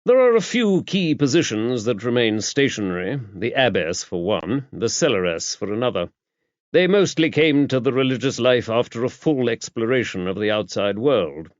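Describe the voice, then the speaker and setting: A man's voice: mid-range voice, big pitch swings, normal speed, dry recording, lightly acted. A man voicing an earnest, talkative English bishop in an audiobook, formal and emphatic. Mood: explanatory, animated. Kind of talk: audiobook character dialogue. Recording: dull, muffled lo-fi audio.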